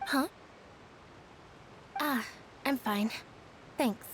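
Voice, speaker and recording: A woman playing a reserved, melancholy young woman in a video game, speaking in a quiet, pensive manner. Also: sits high, extremely slow, a little hiss or hum, heavily acted. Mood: reserved, troubled.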